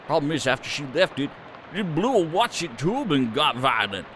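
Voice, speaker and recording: Man putting on an old country farmer, rambling, folksy, lively pitch, noisy background. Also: moderate pace, a faint crowd. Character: aging male farmer, homely and folksy.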